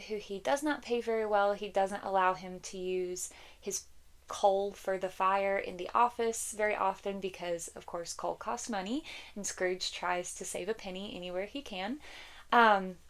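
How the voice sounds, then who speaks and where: Chatty congested woman recommending books. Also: medium pitch. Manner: chatty, giggly, with many ums. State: congested, slightly croaky. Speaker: book vlogger. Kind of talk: casual book recommendations.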